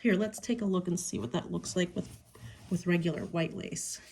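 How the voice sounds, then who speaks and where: A woman with a Pittsburgh accent hosting a casual craft tutorial at home, talking in an unhurried, chatty way with many ums and small delighted asides. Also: lowish voice, vocal fry, a little mellow, a little hiss or hum.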